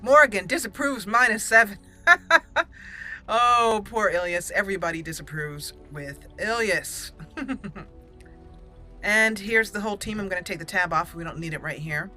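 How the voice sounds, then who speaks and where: A woman's voice: mid-range voice, moderate pace, pauses often, bright, forceful tone, faint music behind. A woman hosting a video game let's play with casual commentary.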